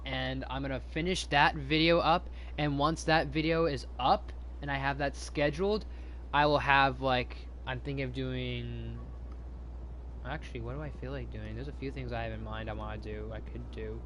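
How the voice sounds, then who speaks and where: A man's voice: medium pitch, steady tone, moderate pace, a bit bright, slight background noise. A man hosting a casual live stream, chatting to viewers in a rambling way, repeating himself and restarting his sentences.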